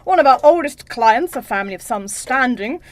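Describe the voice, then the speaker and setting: A woman's voice: a little high, expressive, normal speed, close to an everyday voice. A woman playing a genteel, pompous male office boss in a comic gothic audio drama, with formal theatrical delivery. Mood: brisk, pompous.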